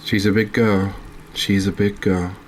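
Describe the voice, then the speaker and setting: Deep voiced guy doing chill asmr game commentary, barely varies his tone, bit of background noise. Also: little pitch movement, measured pace. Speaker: gaming video host.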